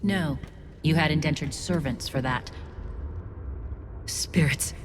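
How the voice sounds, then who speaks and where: A low female voice for an earnest alien resistance leader in a video game. She is guarded and reflective, weighing her words with sincerity. Ambient noise is clearly heard behind her. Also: slow. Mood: uneasy.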